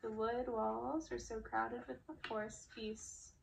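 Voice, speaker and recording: Distant, higher-voiced woman reading a fairy tale. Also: steady tone, takes it slowly. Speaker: reader of a story. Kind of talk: audiobook-style reading of a fairy-tale novel. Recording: distant mic with clear background noise.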